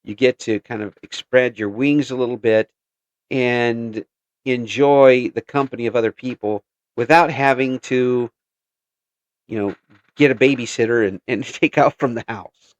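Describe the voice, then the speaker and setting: A man's voice: medium pitch, steady tone, measured pace, no room sound, lo-fi sound. Chatty man hosting an interview, dry sound. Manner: chatty, laughs often, trails off and restarts. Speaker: interview host. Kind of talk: casual interview chat.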